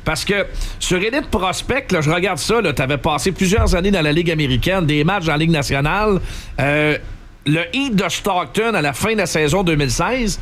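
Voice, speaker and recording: A man's voice: high-pitched, brisk, a bit bright. A man with a Québécois French accent hosting an interview, asking chatty, well-informed questions. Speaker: interview host. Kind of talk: casual interview.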